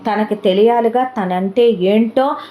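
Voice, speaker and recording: A woman voicing a determined young woman who speaks in measured, emphatic sentences. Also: on the high side, mellow, a little hiss or hum, natural voice. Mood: solemn insistent. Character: determined young woman revealing hidden truths.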